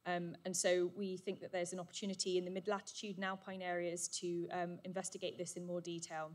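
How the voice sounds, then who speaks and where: A woman at the podium runs through a session summary in a brisk, upbeat way. She fills her pauses with frequent "um"s, and her voice sits a little low. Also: level tone. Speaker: session chair presenting summaries. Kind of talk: conference summary presentation. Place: conference hall. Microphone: handheld or podium microphone.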